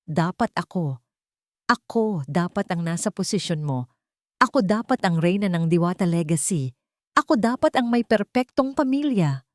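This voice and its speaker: A woman's voice: on the low side, animated, normal speed, a little mellow, no echo, clean studio sound, lightly acted. A woman voicing a bitter, vengeful villainess full of envy, emphatic and accusing, in a narrated romance drama.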